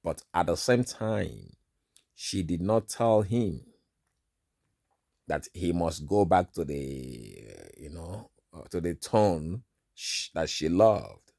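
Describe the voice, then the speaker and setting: A deep-voiced Nigerian man with Igbo as his first language, preaching at an unhurried pace. He recounts a dream in an informal sermon and leans on "all right" and "you know" as he talks. The sound is dry and close. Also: very animated, creaky, dark tone, no echo.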